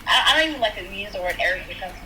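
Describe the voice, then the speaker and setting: A woman's voice: medium pitch, rapid-fire, background noise. A young woman from South Sudan in casual chat, making her case with many "like"s and "right?"s. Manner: animated, talks through points with many "like" and "right?".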